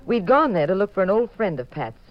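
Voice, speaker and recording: Wry radio detective's wife played by an actress. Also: female voice, medium pitch, sing-song, fairly quick, lightly acted. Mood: wry, chatty. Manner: chatty, arch, teasing. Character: wry, playful detective's wife, young-to-middle-aged woman. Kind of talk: 1950s radio drama acting and narration. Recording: muffled vintage 1950s radio recording with music.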